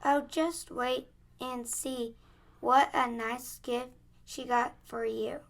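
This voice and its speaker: A cheerful, gushing woman friend in a radio play, acted by a schoolgirl. Her young voice is very high and slow. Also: steady tone, vocal fry. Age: child, about 9 or 10.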